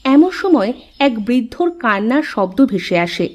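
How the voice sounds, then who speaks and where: A woman's voice: on the high side, sing-song, a little slow. A woman narrator reading a scripted cartoon story.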